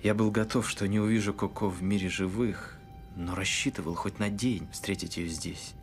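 An actor dubbing a scruffy, warm-hearted skeleton musician and father, with an emotional, expressive delivery. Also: on the low side, normal speed, over music, close to an everyday voice. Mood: grieving. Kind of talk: dubbed animated film acting. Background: film score.

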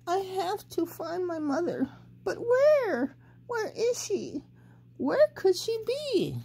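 A woman voicing a small lost baby bird who keeps searching for its mother, high and pleading. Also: huge pitch swings, slow pace, creaky, warm tone, full character voice. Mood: anxious.

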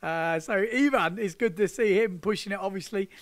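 Guy in his 30s chatting into a handheld mic on a sofa, highish voice, laughs a lot. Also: moderate pace, very noisy. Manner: chatty, laughing, British slang. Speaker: windsurfing vlog host. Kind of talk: casual chat with commentary over surf footage. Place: indoors, sitting on a sofa. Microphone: handheld microphone.

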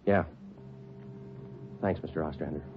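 A deadpan cop narrating, very low voice, tinny old radio sound. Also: male voice, measured pace, a little hiss or hum. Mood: flat, businesslike. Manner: clipped, matter-of-fact. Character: terse male police sergeant narrating his case. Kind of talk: 1940s radio drama narration. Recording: tinny 1949 radio broadcast with music.

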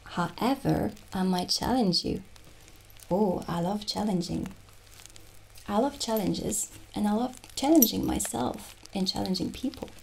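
A woman playing a gentle, dreamy witch shopkeeper in an improvised ASMR roleplay, musing soothingly with pauses. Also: mid-range voice, creaky, rough recording. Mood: welcoming, gentle.

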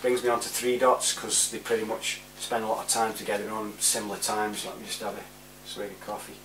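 A man's voice: on the low side, completely flat delivery, brisk, fairly bright tone, reverberant. British guy rambling casually at his webcam, bit far from the mic, faint hum. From United Kingdom. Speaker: vlogger. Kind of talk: casual chat to camera, giving shout-outs. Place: small room indoors at home.

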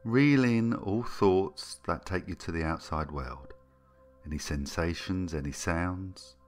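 A man with a very low voice leads a guided meditation. He speaks soothingly and gently, taking his time and leaving space between phrases. Music plays underneath his voice. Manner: soothing, gentle, unhurried with long pauses. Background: background music.

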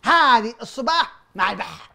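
A man with a Saudi accent in a comedy sketch, playing a fake doctor who gives orders quickly and repeats himself, in a living room. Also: very high voice, expressive, creaky, crowd noise. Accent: Saudi Arabic. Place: living room with sofas, indoors.

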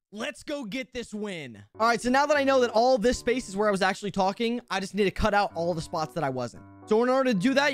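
A young adult man, an upbeat, chatty YouTuber presenting a casual tutorial into a close desk microphone. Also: high-pitched. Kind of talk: scripted-casual tutorial vlog. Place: bedroom. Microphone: close boom-arm desk microphone. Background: background music.

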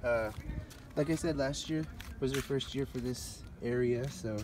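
A man's voice: mid-range voice, a little slow. Man giving a casual tour, noisy outdoor recording. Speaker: gardener giving a yard tour. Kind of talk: casual unscripted walk-through narration. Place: outdoors in a residential front yard, walking. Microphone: handheld phone held by the speaker. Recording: noisy outdoor phone recording, dogs around.

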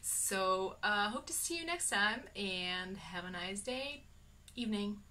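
Chatty, bright-voiced young woman vlogging. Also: medium pitch, animated, takes it slowly. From Lithuania. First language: Lithuanian. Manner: chatty, enthusiastic, many fillers. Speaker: vlogger, exchange student. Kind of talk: casual talk to camera. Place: bedroom, indoors. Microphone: camera on desk at close range.

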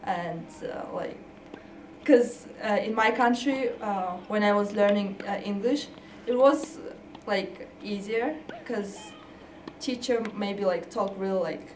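Young woman speaking halting English. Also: mid-range voice, a little mellow, echoey room, far from the mic, slight background noise. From Kazakhstan. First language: Kazakh and Russian. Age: young adult. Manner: halting, searching for words. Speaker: college tennis player. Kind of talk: casual interview. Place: office indoors.

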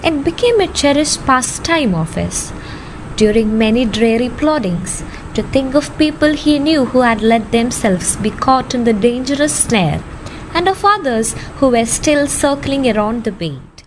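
A woman's voice: fairly high pitch, animated, unhurried, a little hiss or hum, rough recording. A young woman reading scripted story narration for an amateur radio drama. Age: young adult.

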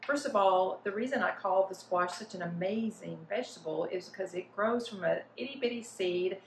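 A woman's voice: mid-range voice, moderate pace, vocal fry, slight background noise. Older woman teaching in an echoey kitchen. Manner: friendly explanatory teaching, gestures while talking. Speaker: cooking instructor. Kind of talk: cooking demonstration. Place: home kitchen indoors. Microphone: camera at mid distance. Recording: roomy kitchen echo.

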